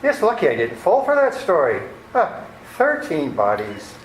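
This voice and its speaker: Man as a blustering, high-pitched police lieutenant. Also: wildly swooping pitch, normal speed. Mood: exasperated. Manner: brash, incredulous. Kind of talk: amateur radio play acting. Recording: echoey room with faint noise.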